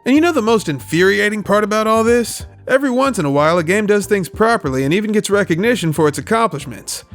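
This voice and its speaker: A man's voice: high voice, expressive, quick pace. A man narrating a scripted, opinionated video essay in an emphatic, colloquial, sweary way. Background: music.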